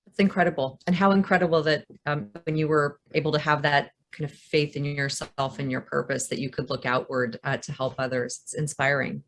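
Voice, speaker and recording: Woman host, deep voice, encouraging, asks thoughtful questions over a video call. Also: fairly even intonation, a little fast.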